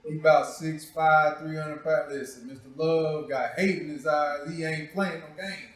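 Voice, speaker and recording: A man's voice: on the high side, fairly even intonation, moderate pace, fairly bright tone. A man hosting a talk show in casual, profane, joking chat, with a playful, teasing manner and a habit of repeating himself. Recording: very echoey room, distant mic.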